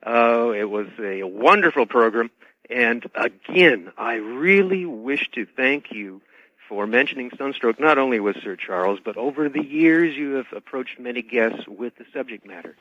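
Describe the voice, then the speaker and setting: A man's voice: mid-range voice, animated, normal speed, no echo. A man calling in to a radio show as a guest author, speaking earnestly and politely in formal, hesitant phrases. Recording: dull band-limited radio call audio.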